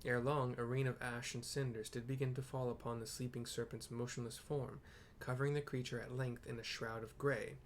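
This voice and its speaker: A man's voice: medium pitch, flat delivery, fairly quick, few pauses, a little hiss or hum, natural voice. A man voicing a grave, proud dragon-slaying knight in a fantasy game, with solemn, formal, old-fashioned phrasing.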